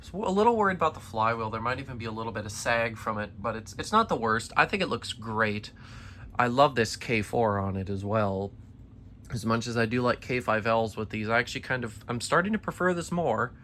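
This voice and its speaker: A man's voice: mid-range voice, lively intonation, a little fast, sharp tone. Young man, tired voice, casual rambling vlog, phone camera in a basement, faint background hum. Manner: rambling, eager, with many restarts. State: tired, slightly worn voice. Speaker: ceiling fan collector. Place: basement collection room.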